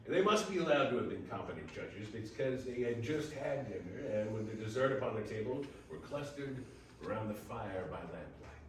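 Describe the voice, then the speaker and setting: Male readers theater narrator, animated storytelling, far from the mic in a highly reverberant, faintly noisy room. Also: normal speed. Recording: very echoey, distant, faint noise.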